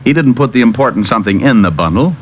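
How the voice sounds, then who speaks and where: A man's voice: medium pitch, lively intonation, quick pace, lightly acted. An American actor playing a witty, wry private detective in an old-time radio drama, narrating his own case. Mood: sharp, deducing. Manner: wry, sardonic. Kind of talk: 1940s-50s radio detective acting. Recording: dull old radio recording, background music.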